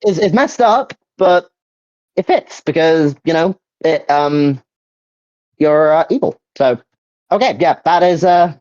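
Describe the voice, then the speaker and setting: Man, animated intonation, casual co-host chat, halting and rambling, close dry sound, muffled lo-fi audio. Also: on the high side, dry recording. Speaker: co-host ranking video game powers. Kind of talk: casual chat, giving opinions. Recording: dull, lo-fi voice audio.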